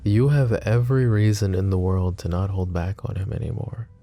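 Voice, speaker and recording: A man voicing a possessive, protective mafia boss in an ASMR roleplay, talking in a calm, confiding way with casual swearing. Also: deep voice, moderate pace, soft background music. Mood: candid. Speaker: voice actor.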